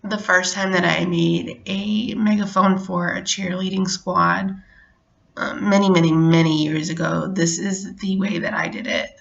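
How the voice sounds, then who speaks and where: Casual craft tutorial host, middle-aged woman, lowish gravelly voice, relaxed step-by-step delivery, slightly muffled recording. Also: measured pace. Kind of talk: casual how-to narration.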